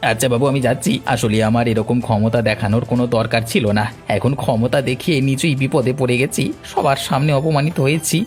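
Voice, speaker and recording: A man's voice: mid-range voice, steady tone, fairly quick, few pauses, natural voice. A man voicing a cowardly, scheming villager in a Bengali cartoon, pleading and whining. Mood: grumbling. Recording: music behind the voice.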